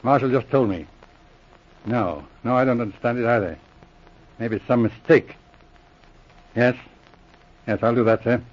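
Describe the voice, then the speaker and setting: An actor playing a brisk, gruffly good-humored veteran police officer in a 1940s radio drama. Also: male voice, medium pitch, natural voice. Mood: brisk, businesslike. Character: brisk, dry, loyal veteran police sergeant and inspector. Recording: muffled old radio recording.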